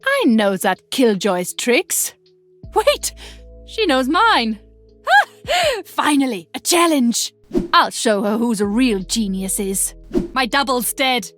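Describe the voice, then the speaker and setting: A woman's voice: on the high side, very animated, takes it slowly, fairly bright tone, soft background music, full character voice. A woman voicing a cocky, competitive young inventor in a video game. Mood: smug.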